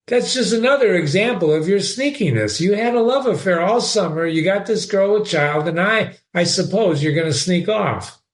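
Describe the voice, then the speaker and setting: A man's voice: expressive, moderate pace, hardly any pauses. A man voicing a tearful, indignant young woman, a Scottish nurse who scolds and sobs. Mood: scolding. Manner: shrill, scolding, sobbing.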